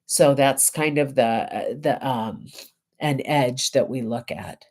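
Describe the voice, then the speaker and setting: A woman reverend getting over a head cold, giving a reflective spiritual talk with deliberate, emphatic phrasing and pauses. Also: a bass voice, animated, darkish tone.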